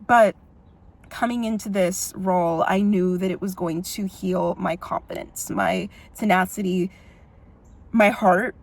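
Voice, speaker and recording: A young adult woman with a big voice, telling personal stories in an expressive, emotional vlog style. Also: on the low side. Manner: expressive and emotional, frequent "like" fillers and laughs. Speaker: vlogger and musical theatre performer.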